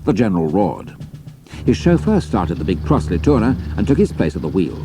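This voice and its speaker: Low, animated British male storyteller. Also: fairly quick, over music. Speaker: narrator. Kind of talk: scripted dramatic storytelling narration.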